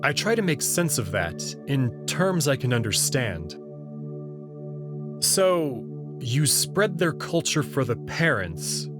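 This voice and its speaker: A man reads a first-person sci-fi story in a slow, drawn-out way, wry and curious in tone. His voice rises and falls with a lively range. Music plays clearly behind him the whole time. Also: medium pitch. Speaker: narrator reading a visual novel aloud.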